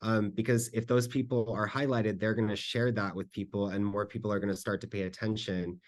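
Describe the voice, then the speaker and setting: A man's voice: on the low side, fairly even intonation, a little fast. A man speaking as a panelist in a conference Q&A, explaining things conversationally and often checking for agreement. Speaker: panelist, school district communications staffer. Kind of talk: conference presentation Q&A, informal advice.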